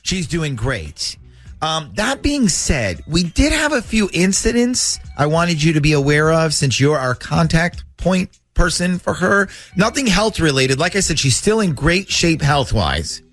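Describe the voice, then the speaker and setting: A man from a radio show making a prank phone call, talking in a calm, matter-of-fact way with hesitant fillers. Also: on the high side, measured pace, faint music behind.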